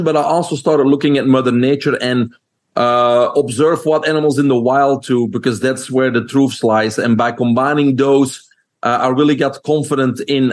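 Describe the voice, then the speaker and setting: Man, Belgian accent, podcast guest, earnest, frequent uh fillers, medium pitch. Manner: earnest, fluent, many uh fillers. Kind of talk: podcast interview.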